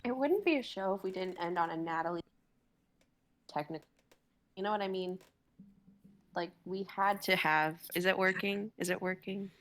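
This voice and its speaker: A woman's voice: medium pitch, moderate pace, pauses often, fairly bright tone, dry recording. A woman in her twenties rambling casually and comically on a livestream, self-mocking and a little dramatic. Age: 20s. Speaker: livestream performer, regular of the show.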